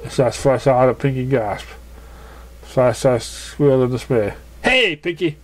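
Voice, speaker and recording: A man narrating a fan fiction story as an amateur reader, with halting, stumbling delivery. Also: medium pitch, fairly even intonation, dark tone.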